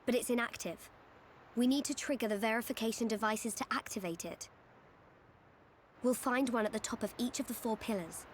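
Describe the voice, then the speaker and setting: Young game heroine, played by an actress: thoughtful, composed, fairly high voice, faint background noise. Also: female voice, fairly quick, close to an everyday voice. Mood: matter-of-fact. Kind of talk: video game acting.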